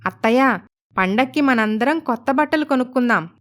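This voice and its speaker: Woman acting a high-voiced, polite young daughter-in-law. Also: lively intonation, normal speed, a bit bright. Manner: respectful, coaxing. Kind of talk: Telugu animated moral story voice acting.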